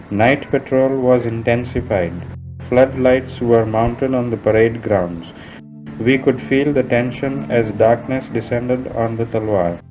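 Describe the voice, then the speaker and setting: A man reads a first-person recollection in a quiet, tense way. His voice is mellow and a little muffled, with guitar music beneath. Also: medium pitch. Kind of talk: scripted reading.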